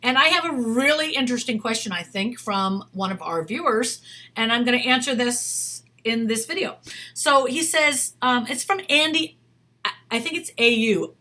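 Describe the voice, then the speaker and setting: A bright, fairly high-voiced woman in middle age talks straight to camera. She is an acting coach whose advice is chatty and emphatic, with a forward, ringing tone. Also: lively intonation, moderate pace. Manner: chatty, emphatic, reads a viewer's email aloud. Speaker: acting career coach and talent manager. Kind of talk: casual direct-to-camera advice video.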